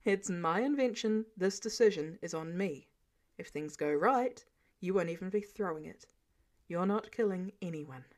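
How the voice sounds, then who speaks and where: A woman's voice: fairly low pitch, animated, normal speed, lightly acted. A woman voicing a protective, wry grown male superhero inventor in an audiobook, speaking firmly and reassuringly. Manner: firm, reassuring. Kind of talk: fan fiction audiobook character voice.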